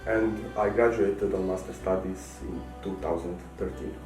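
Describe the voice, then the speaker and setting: A man from Bosnia and Herzegovina, a researcher, describing his work carefully with small pauses. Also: low-pitched, fairly even intonation. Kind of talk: interview to camera. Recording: echoey room from far off with music behind.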